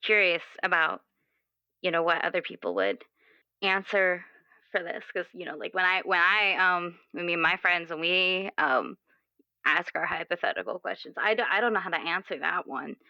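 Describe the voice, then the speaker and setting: Earnest, searching young character, acted by a woman, clear and bright, stumbling over thoughts in improvised tabletop roleplay, dry sound. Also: fairly low pitch, animated, no echo, dull audio, exaggerated voice. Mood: nervous, earnest. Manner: halting, rambling, often repeating words. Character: earnest, questioning twenty-year-old, restless with their assigned role. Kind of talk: improvised actual-play roleplay dialogue.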